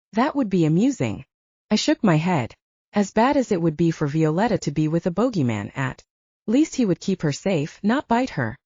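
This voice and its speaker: Woman reading a story, low mellow voice, kinda muffled dry sound. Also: no room sound. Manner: even first-person storytelling. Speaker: audiobook narrator. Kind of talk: scripted narration. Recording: dull dry lo-fi audio.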